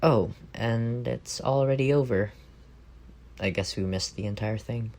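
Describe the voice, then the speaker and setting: A man's voice: mid-range voice, a little slow, a little mellow, lightly acted. A man playing an affectionate, teasing young roommate in an intimate ASMR roleplay, speaking in a playful, tender way.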